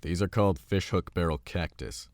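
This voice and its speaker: Man as battle-scarred audio drama tough guy, deep voice, blunt, teasing, dry recording. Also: normal speed, darkish tone, no room sound. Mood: bossy, confident. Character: gruff cocky battle-scarred male survivalist, bossy and teasing.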